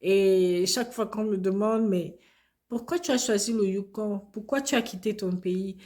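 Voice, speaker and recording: A woman from Cameroon, reflecting on her own experience in a calm, conversational way with frequent hesitations. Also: medium pitch, normal speed. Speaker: immigrant interviewee. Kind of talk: personal testimony, conversational.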